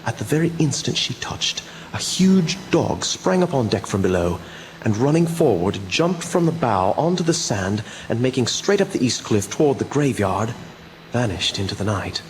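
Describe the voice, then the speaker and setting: An actor playing a grave doctor who reads evidence aloud in a measured, portentous radio drama style. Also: male voice, medium pitch, big pitch swings, a little hiss or hum, close to an everyday voice, poor audio. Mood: ominous. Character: grave male doctor presenting evidence, reading aloud solemnly.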